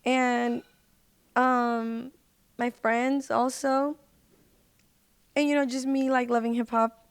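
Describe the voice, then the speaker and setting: Woman, fairly high pitch, smooth clean tone, dry close sound, laid-back, slangy, casual podcast guest. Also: flat delivery, slow pace, dry recording. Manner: laid-back, slangy hip-hop phrasing. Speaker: guest, musician and social media personality. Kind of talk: casual chat. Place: podcast recording.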